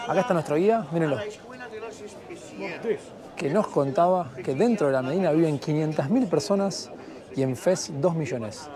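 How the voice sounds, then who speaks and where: A man gives enthusiastic walking-tour commentary to camera, talking straight to his viewers. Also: on the high side. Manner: enthusiastic, addresses viewers directly. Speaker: travel vlogger. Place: busy outdoor market streets in an old medina. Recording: busy crowd noise outdoors.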